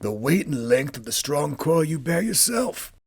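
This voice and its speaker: A man voicing a doomed old male ghost, speaking in a slow, drawn-out, mournful way in a storybook reading. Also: a little high, very animated, vocal fry, slightly rough, dry recording, clean studio sound, pitched above the performer's own voice, heavily acted. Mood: solemn. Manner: slow, wailing, drawn-out delivery.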